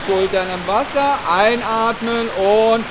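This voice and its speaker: A woman's voice: on the high side, slow pace. A woman teaching a swimming class at an indoor pool, giving encouraging instructions. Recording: hiss and splashing water behind.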